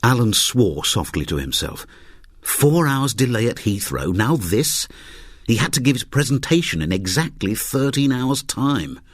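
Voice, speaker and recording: Male story narrator: low, husky and dry-recorded, wry and very animated in delivery. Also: unhurried, no room sound. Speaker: audiobook narrator. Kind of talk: scripted fiction narration.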